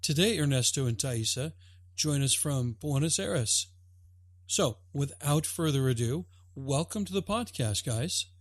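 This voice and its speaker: A man's voice: medium pitch, expressive, unhurried, no echo. Guy hosting a podcast, upbeat, asks questions, dry close mic. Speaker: podcast host. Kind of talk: friendly interview questions.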